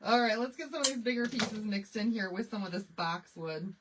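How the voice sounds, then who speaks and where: A woman's voice: mid-range voice, brisk, background noise. A woman with a Michigan accent hosting a live crafting tutorial, chatty and laughing often as she answers viewers. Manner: chatty, laughs often, answers chat questions while working. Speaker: craft livestream host.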